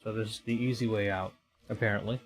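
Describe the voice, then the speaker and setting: Low-voiced man streaming a game. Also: fairly even intonation, fast, slightly rough.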